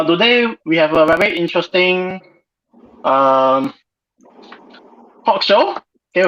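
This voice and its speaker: A man moderating an informal online panel discussion as host, on a muffled video call. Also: fairly high pitch.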